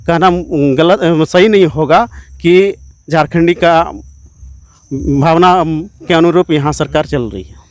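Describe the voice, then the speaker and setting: Higher-pitched man, earnest and emphatic. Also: no echo. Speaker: activist interviewee. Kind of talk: political interview answers.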